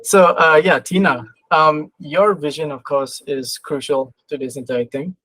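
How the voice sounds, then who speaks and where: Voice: mid-range voice, steady tone, measured pace, fairly bright tone, no echo, rough recording. Casual interviewer, dry close sound. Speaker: interviewer, moderator.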